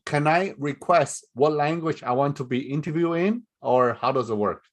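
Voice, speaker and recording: Man, moderator, chatty, halting restarts, slightly high voice, video call. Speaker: forum moderator. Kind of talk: moderating a live webinar Q&A.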